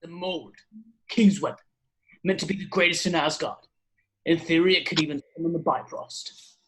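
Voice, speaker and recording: A man's voice: on the high side, moderate pace, a bit bright, close to an everyday voice, low-quality recording. A man playing a solemn, proud dwarf weaponsmith in an amateur table read of a film script. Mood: grave, proud.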